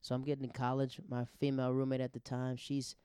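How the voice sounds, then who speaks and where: Mellow-voiced man chatting casually. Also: medium pitch, monotone, fast talker, clear, resonant tone, no room sound, studio quality. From grew up abroad in the Netherlands and Japan. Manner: conversational, often acts out quoted remarks. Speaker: host. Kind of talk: casual personal monologue on social issues.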